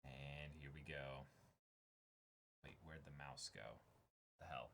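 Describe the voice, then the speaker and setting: A man's voice: very deep voice, takes it slowly, vocal fry, a bit bright, a little hiss or hum. A man chatting casually and reacting as co-host of a dramatic reading.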